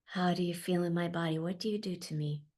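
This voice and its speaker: A woman leading a guided meditation in a soft, slow, often half-whispered voice. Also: lowish voice, steady tone. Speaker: meditation leader.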